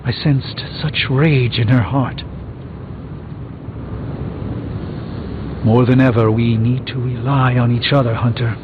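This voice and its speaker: An actor playing a proud, erudite sorcerer in a video game, speaking in pompous, formal, wordy phrases. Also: male voice, mid-range voice, fairly even intonation, moderate pace, narrow, tinny audio, noisy background, natural voice. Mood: earnest. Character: pompous, erudite adult male sorcerer superhero, proud and wry. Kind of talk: video game character dialogue. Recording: thin band-limited game audio with music behind.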